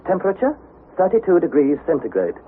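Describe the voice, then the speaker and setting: An actor playing an earnest young nephew, narrating an expedition with measured, dramatic storytelling in a 1960s radio drama style. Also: male voice, a little high, wildly swooping pitch. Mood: measured logging. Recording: narrow-band, slightly noisy vintage radio recording.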